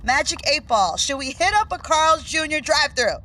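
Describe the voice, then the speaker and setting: A woman's voice: high voice, expressive, normal speed, sharp tone, low-quality recording. A woman playing Ellie, a blunt, bossy young woman who tells her friends how it is in a podcast sitcom. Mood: matter-of-fact.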